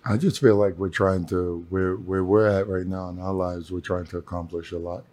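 A young man with a hoarse voice co-hosting a casual podcast, joking in slang. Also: sits low, fairly even intonation, moderate pace, a little mellow. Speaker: podcast co-host.

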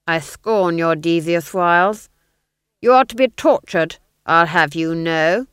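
A woman's voice: lowish voice, takes it slowly, a bit bright, smooth, no room sound, studio quality. A woman voicing a pompous, gloating and unhinged Duke with grandiose, theatrical phrasing, in a fantasy audiobook.

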